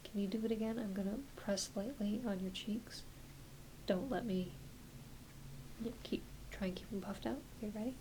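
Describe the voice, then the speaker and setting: A woman performing a soft-spoken, often whispered ASMR roleplay as a doctor, talking gently and reassuringly to a patient with some hesitancy. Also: medium pitch, steady tone, normal speed, poor audio. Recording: clear background hiss.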